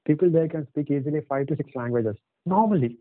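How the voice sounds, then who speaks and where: A man with an Indian English accent, a native Telugu speaker, telling travel stories as a podcast guest in a halting, hesitant way. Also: on the high side, dry recording. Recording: dry, tinny phone-like line.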